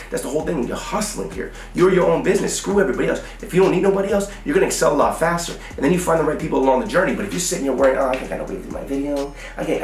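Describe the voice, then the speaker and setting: Rapid-fire American man in an echoey room. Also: on the high side, distant mic, slight background noise. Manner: blunt, emphatic, repetitive, slangy hustler talk with swearing. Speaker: music business coach and online course seller. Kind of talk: motivational how-to vlog talk. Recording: roomy echo, slightly off the mic.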